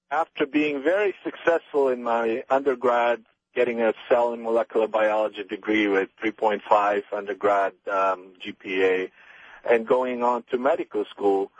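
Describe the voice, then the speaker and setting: A man's voice: mid-range voice, breathy edge, no room sound, highs cut off. A young man whose first language is Bulgarian, calling into a radio show and speaking hesitantly with many ums. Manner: hesitant, lots of ums, earnest. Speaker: caller. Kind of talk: phone-in call.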